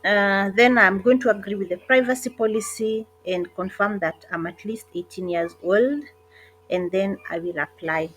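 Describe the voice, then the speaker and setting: A woman narrating an online job application walkthrough in a casual, hesitant way, with frequent pauses and self-corrections. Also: medium pitch, a little hiss or hum, rough recording. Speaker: online job tutorial creator. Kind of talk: casual screen-recorded walkthrough.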